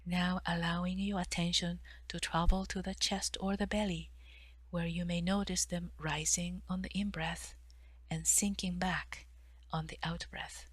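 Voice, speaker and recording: A woman guiding a meditation in a soft, breathy delivery with measured pauses between phrases. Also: lowish voice, little pitch movement, dry recording. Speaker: meditation guide, therapist. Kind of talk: guided meditation narration.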